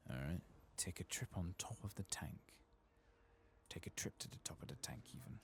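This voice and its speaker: A man with a gravelly voice, speaking softly and sometimes whispering in an ASMR game playthrough commentary. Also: animated, moderate pace, slight background noise. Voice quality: gravelly, very deep. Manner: soft-spoken, sometimes whispering. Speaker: ASMR gaming streamer.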